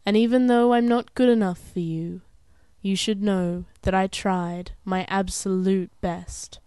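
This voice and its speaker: A young woman performs her poetry in a smooth, crisp voice at a medium pitch. The recording is dry and close, with no room to it. She phrases each line deliberately, in an earnest, dramatic and measured delivery. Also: steady tone, dark tone, dry recording. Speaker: poet. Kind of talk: spoken-word poetry recital.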